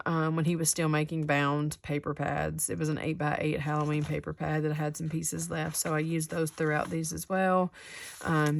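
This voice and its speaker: A woman's voice: sits low, gravelly. A woman with a cold, a little sniffly, casually showing her handmade craft journals at her work table, rambling with many ums. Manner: relaxed, rambling, many ums and self-corrections. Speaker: craft channel host. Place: craft table indoors, hands-on demo.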